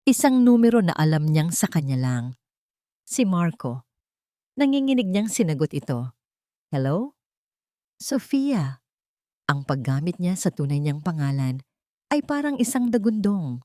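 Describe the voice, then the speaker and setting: A woman with a low voice narrates a dramatic story. The recording is dry and close, and the delivery is that of scripted storytelling. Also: sing-song, moderate pace, dark tone, no room sound.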